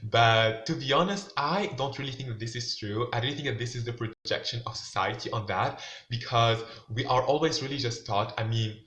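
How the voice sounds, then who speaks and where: A man's voice: medium pitch, fairly quick, fairly bright tone. A young man from Tunisia, talking casually to camera in a loose, filler-heavy way. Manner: loose, filler-heavy talk, "like" and "you know what I'm saying" everywhere. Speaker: vlogger. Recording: roomy and dull, lo-fi.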